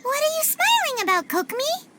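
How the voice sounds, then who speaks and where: A woman voicing a tiny, excitable, chatty fairy companion with a childlike squeaky voice in a video game. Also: a little fast, very noisy. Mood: curious. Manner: refers to self in third person. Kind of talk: video game cutscene dialogue. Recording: music behind.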